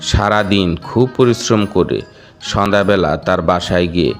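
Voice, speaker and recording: A man's voice: sits low, moderate pace, faint music behind. A man narrating a children's cartoon fable as a scripted storybook tale.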